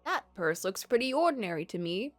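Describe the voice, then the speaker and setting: A struggling, self-doubting gentleman writer from a story game, read by a woman. He speaks formally with expressive rises and falls, over faint music. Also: medium pitch, a little fast, dry recording, close to an everyday voice. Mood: disbelieving. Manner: formal, literary, wondering. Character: poor, doubting, melancholy male writer narrating his misfortune.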